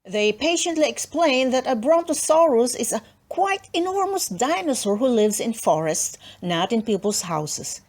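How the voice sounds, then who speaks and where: Animated woman reading a children's story. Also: on the high side. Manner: dramatic, deliberate emphasis with playful asides. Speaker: storybook reader. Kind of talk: expressive children's read-aloud narration.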